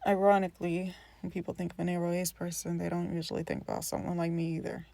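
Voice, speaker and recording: A woman's voice: fairly low pitch, monotone, quick pace, vocal fry, warm tone, no room sound. A woman playing an earnest, introspective young friend in naturalistic scripted dialogue, speaking tentatively and confidingly. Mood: wistful, unsure.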